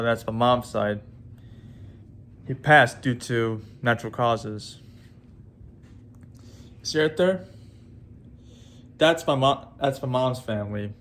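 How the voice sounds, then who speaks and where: A man in his twenties talking casually to his viewers in a halting way, with many restarts and repeated words. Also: medium pitch, a bit bright. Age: 20s. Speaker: vlogger. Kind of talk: casual vlog talk to viewers.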